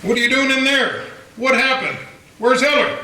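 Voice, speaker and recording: A man's voice: extremely high pitch, normal speed, pushed and bright, heavily acted. A man playing a puzzled, worried small-town banker who keeps asking questions. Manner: questioning, fretful. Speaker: town banker Benson Bailey. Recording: roomy echo, a bit distant, faint hiss.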